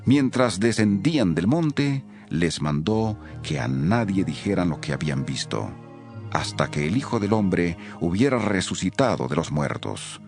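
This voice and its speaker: A man reads scripture as a narrator. His voice is fairly deep, with animated rises and falls in a scripted delivery. Quiet music runs beneath him. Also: a little slow, creaky.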